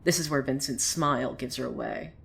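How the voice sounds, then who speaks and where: Actress doing a sardonic lady narrator, low voice, gossipy and opinionated, fiction podcast storytelling. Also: a little fast, creaky, a bit bright, exaggerated voice. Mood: wry, suspenseful. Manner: sardonic, conversational, swearing asides. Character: opinionated, sardonic female storyteller who gossips about townsfolk. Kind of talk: dramatic first-person storytelling.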